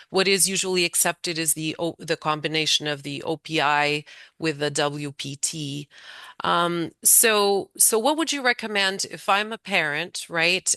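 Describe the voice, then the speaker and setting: A woman's voice: fairly low pitch, pushed and bright, clear, resonant tone, no echo. A woman hosting a webinar on a video call, asking the guest questions and thinking aloud as she does. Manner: inquisitive, thinks aloud with fillers and restarts. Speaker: webinar host.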